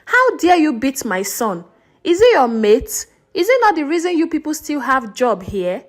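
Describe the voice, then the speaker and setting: A woman's voice: sits high, sing-song, normal speed. A woman voicing a proud, doting and combative village mother in a folktale, scolding and insistent. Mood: defiant scolding.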